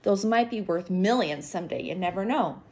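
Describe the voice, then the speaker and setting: A woman's voice: mid-range voice, expressive, normal speed, darkish tone, lightly acted. A woman voicing a kind, casual young schoolgirl in a children's storybook. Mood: light, friendly. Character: friendly young schoolgirl artist, kind but puzzled.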